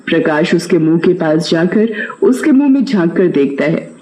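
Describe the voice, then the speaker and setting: A man's voice: on the high side, expressive, moderate pace, warm tone, poor audio. A man reading a scripted children's moral story as its narrator.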